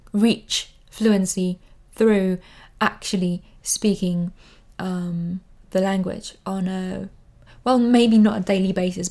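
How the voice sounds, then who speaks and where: A woman about thirty talks to camera in English, which is not her first language. Her pace is slow and unhurried, with frequent ums and restarts as she searches for words. She keeps stressing points by repeating them. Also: medium pitch, a little mellow. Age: 30s. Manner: hesitant, many ums and restarts; earnest, repeats words for emphasis. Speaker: vlogger. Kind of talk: casual advice talk to camera. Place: living in London.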